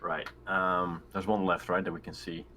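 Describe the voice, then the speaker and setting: A man's voice: sits low, little pitch movement, moderate pace, pushed and bright. A man playing a tabletop game, chatting casually and tentatively as he thinks his moves through aloud.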